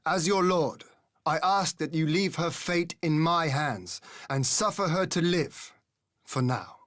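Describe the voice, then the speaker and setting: A man playing a noble, resolute young lord in a video game, speaking in formal, measured, courtly phrases. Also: a little high, fairly bright tone, no echo, clean studio sound. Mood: commanding. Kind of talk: formal dramatic game acting.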